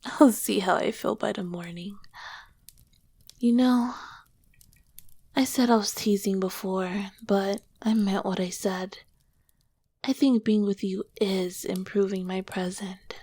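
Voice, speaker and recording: Woman playing a breathy, slow-spoken ancient succubus queen. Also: medium pitch, steady tone, no room sound. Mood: playful, teasing. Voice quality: soft, breathy, often dropping to a whisper. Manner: slow, gentle, affectionate. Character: ancient succubus queen, female demon, tender, teasing, nurturing. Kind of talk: ASMR roleplay, intimate soft-spoken comfort. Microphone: close microphone, dry.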